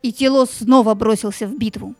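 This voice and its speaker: Female narrator for a children's tale: high, soft-toned, suspenseful, with faint music underneath. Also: a little fast, dry recording. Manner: expressive, suspenseful. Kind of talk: dramatic scripted storytelling.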